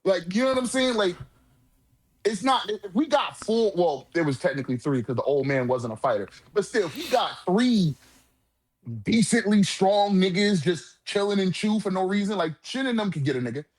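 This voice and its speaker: A man's voice: sits high, big pitch swings, normal speed. A man in a casual manga discussion, talking in an emphatic, profane, slang-heavy way as a commentator.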